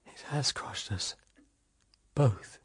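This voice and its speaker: An actor playing a tormented, passionate British husband, with a volatile, melodramatic radio drama delivery. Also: male voice, drawn-out words. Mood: overwhelmed, hushed. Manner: volatile, melodramatic, swinging from forceful to hushed. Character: tormented, passionate husband in love with wife's sister.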